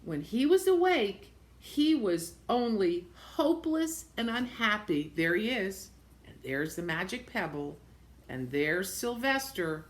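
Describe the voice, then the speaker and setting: A woman reading a picture book aloud to young children, expressively, pausing to point things out in simple words and repeating phrases. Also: mid-range voice, sing-song. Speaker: storybook reader for young children.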